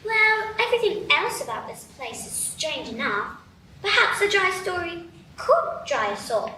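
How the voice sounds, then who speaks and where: A woman's voice: very high voice, very animated, measured pace, pushed and bright, very echoey, recorded from a distance, a little hiss or hum, full character voice. A woman playing a curious, polite young girl in a live radio play. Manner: polite, questioning.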